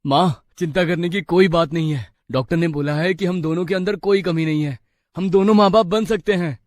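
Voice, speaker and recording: A man's voice: a little high, brisk, no echo, studio quality, lightly acted. A man playing an earnest, respectful young village husband and dutiful son. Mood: reassuring.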